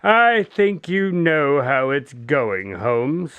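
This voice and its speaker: A male actor plays an excitable detective's sidekick in a radio drama. His fairly high voice swings widely as he reacts with ready indignation and exasperation. The recording is dry and close. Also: drawn-out words, clean tone, no room sound, lo-fi sound, heavily acted. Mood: sullen, shocked. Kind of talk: modern radio drama dialogue.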